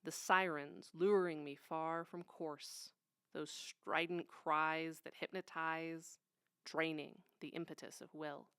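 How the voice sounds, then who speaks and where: Woman, solemn poetry recital, dramatic and deliberate, unhurried, dry close sound. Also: low-pitched, steady tone, fairly bright tone, smooth, dry recording. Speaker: poetry reader.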